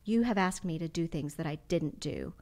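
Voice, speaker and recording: A woman's voice: sits low, lively intonation, moderate pace, a little mellow. A woman guest on a podcast, telling stories with an enthusiastic, rambling manner. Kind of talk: casual interview chat.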